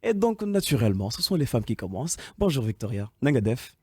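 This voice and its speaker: A man hosting a radio interview in a studio, friendly and quick to laugh. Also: mid-range voice, big pitch swings, dark tone, slightly rough, dry recording. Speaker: radio host.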